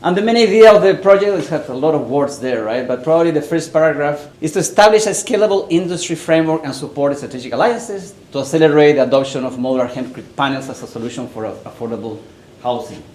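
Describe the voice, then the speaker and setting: A man's voice: on the high side, animated, fast talker, darkish tone. A man from Chile with a Chilean Spanish accent, a native Spanish speaker presenting a research project at a conference, talking in a conversational way with frequent "right?" and "actually". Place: large echoey conference room. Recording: echoey hall with faint noise.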